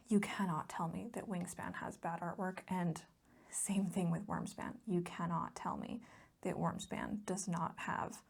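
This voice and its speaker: A woman gives a soft-spoken ASMR voiceover, close to the mic. Her voice is mellow and breathy, often slipping toward a whisper with a creaky fry. She chats casually and a little ramblingly, with gentle enthusiasm. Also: lowish voice. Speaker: ASMR video host.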